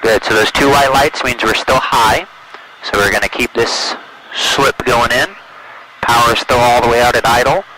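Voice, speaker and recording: A man instructs a student pilot in an encouraging, step-by-step way, with a medium-pitched voice. He speaks through a headset in a small aircraft, with clear engine noise around him. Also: normal speed, low-quality recording. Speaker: flight instructor. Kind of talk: calm step-by-step flying instruction with radio calls. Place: small airplane cockpit in flight. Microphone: aviation headset. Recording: loud aircraft cabin noise, intercom audio.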